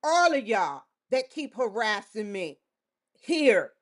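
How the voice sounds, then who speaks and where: A woman's voice: a little high, very animated, takes it slowly. A woman vlogger giving a defiant, confrontational monologue straight to the camera, swearing often. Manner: defiant, confrontational, with frequent profanity. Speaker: vlogger hosting her own show.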